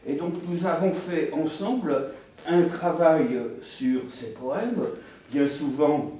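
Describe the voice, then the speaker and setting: A man speaking deliberately and haltingly as a panelist at a round table on literary translation. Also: on the high side, mellow. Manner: deliberate, halting, pausing mid-sentence. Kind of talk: spontaneous round-table talk. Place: reverberant room at a round-table event. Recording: echoey room, distant mic, faint noise.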